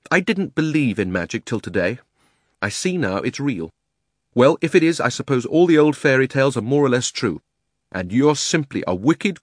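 A man's voice: mid-range voice, no room sound, clean studio sound, voice pushed up. A man voicing an indignant, plucky schoolboy in a storybook, with a fierce, blunt, accusing way of talking. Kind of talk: dramatised storybook reading.

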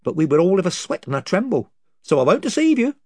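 A self-important chief of invisible creatures who rambles, circles back and forgets where he was, as a man reading the story puts him on. His voice is pitched above his plain one, husky and very lively. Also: quick pace, no echo, studio quality. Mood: rambling. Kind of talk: audiobook character voice.

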